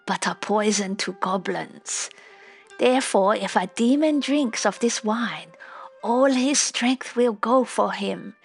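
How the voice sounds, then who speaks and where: A woman voicing a venerable, grateful old man, secretly a god, in a storybook. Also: a little high. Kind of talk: storybook character voice.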